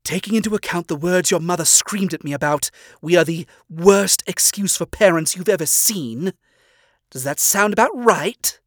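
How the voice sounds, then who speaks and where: A stern demon owl father, put on by a man reading a fan story, pitched well above the reader's own voice. He scolds and accuses in hard, pointed phrases that climb toward shouting. The sound is close and dry, with no room around it. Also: big pitch swings, measured pace, no echo, exaggerated voice. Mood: smug probing.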